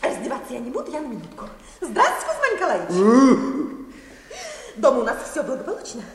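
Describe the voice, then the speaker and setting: An actress playing a flighty, chattering young wife in a theatrical radio play, breezy and gushing. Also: female voice, sits high, huge pitch swings, quick pace. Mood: breezy, excited. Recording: echoey hall, long reverb.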